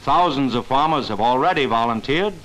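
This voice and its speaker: A man narrating a mid-century educational film in an earnest, dramatic way with deliberate emphasis. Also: medium pitch, lively intonation, fairly bright tone, slight background noise, poor audio. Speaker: documentary narrator.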